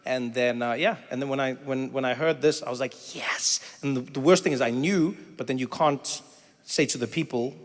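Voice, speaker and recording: A middle-aged man from Africa, doing animated stand-up comedy and crowd work, breaking into shouted impressions and teasing banter. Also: medium pitch, normal speed. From South Africa. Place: stage in a large theater before an audience. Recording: live theater with long hall reverb and faint room noise.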